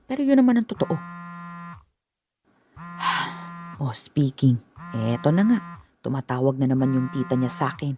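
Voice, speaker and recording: A woman's voice: very deep voice, big pitch swings, moderate pace, pauses often, mellow, soft background music. An actress playing a tired middle-aged mother who complains about an extra person in the house, in a radio drama. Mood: complaining. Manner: complaining, weary. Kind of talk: Filipino radio drama acting.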